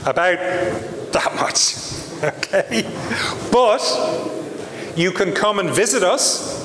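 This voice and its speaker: A man from Ireland with an Irish accent, giving a lively live sales presentation to an audience with chatty asides. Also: high-pitched, extremely slow, noisy background. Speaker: brand ambassador presenter. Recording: echoey hall from far off.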